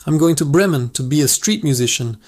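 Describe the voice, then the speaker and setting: A man voicing an old, weary but determined male guard dog with a hoarse voice. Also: fairly high pitch, expressive, brisk, dry recording, clean studio sound.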